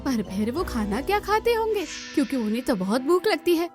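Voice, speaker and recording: A woman puts on a nosy village neighbour for a cartoon story. She sounds very high, gossipy and concerned, over music. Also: very animated, fairly quick. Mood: prying concern.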